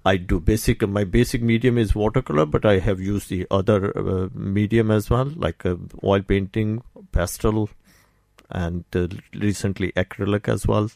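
A man in his sixties with a Pakistani accent talks in a radio studio interview. His voice sits low, and the sound is dry and close. He speaks haltingly, with frequent pauses and fillers. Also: steady tone, creaky, a little mellow, no room sound. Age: 60s. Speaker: guest, doctor and painter.

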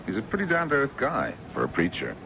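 An actor playing a brusque, impatient, middle-aged wealthy husband with a blustering, demanding way of talking in a radio drama. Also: male voice, mid-range voice, wildly swooping pitch, a little fast, gravelly. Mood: bluff, scornful. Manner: blustering, short-tempered, demanding. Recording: dull, noisy old radio broadcast.

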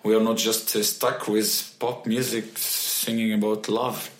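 A young musician, a man, answering interview questions in halting English with many restarts. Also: fairly low pitch, flat delivery, roomy sound. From Egypt. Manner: halting, with frequent restarts and fillers. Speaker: musician guest.

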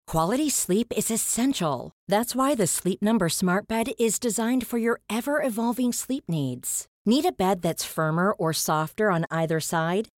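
Female ad voice-over, mid pitch, dry booth read. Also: animated, normal speed, few pauses, no echo. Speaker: ad announcer. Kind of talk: scripted ad read.

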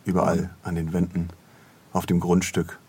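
A man chats casually as a podcast co-host. His voice is fairly low and husky, with a conversational habit of tagging remarks with questions. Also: completely flat delivery, normal speed, a little mellow.

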